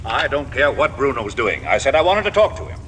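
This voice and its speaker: A man's voice: mid-range voice, big pitch swings, brisk, fairly bright tone. An actor playing a stern, irascible older father in 1950s radio drama, curt and scolding. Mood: angry, scolding. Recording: old radio recording with vehicle noise.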